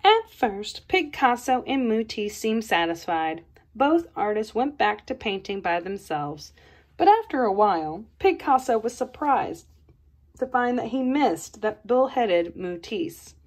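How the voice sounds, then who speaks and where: A woman reads a children's picture book aloud. Her fairly high voice swoops and rises with every line, and she takes her time with the words. The reading is expressive and playful, in the manner of an art teacher sharing a story with a class. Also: measured pace.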